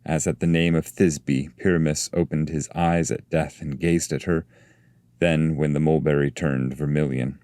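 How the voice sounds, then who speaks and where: A man reading epic poetry as a scripted narration, in a measured, solemn way. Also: extremely low pitch, dark tone, no room sound, studio quality.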